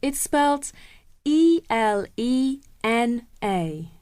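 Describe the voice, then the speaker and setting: A woman reading scripted English listening exercises deliberately, spelling words out letter by letter. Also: fairly high pitch, lively intonation, a little mellow, smooth, dry recording, studio quality. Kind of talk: scripted slow textbook dialogue.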